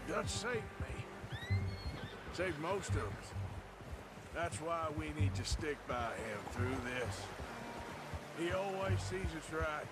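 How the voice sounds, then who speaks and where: An actor playing a rugged, loyal middle-aged outlaw of the Old West in a western game, with a folksy American drawl. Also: male voice, on the high side, expressive, takes it slowly, pauses often, over loud music. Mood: earnest. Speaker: video game character. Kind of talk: naturalistic western game dialogue.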